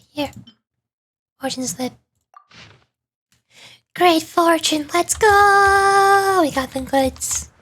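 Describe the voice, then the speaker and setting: A woman's voice: sits high, fairly bright tone, breathy edge. A woman livestreaming a video game, commenting casually and thinking aloud as she plays. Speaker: streamer, VTuber.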